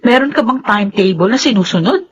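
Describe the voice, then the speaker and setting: A woman's voice: a little high, animated, fast, breathy edge, muffled recording. A Filipino actress playing a headstrong, vengeful young woman in a radio drama. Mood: exasperated, evasive.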